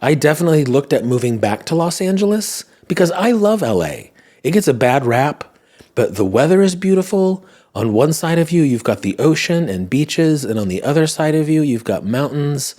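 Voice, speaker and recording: A man hosting a podcast in casual solo chat, rambling and wry, his voice tired and slightly hoarse. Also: on the high side, sing-song, moderate pace, darkish tone. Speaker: podcast host. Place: at home, indoors.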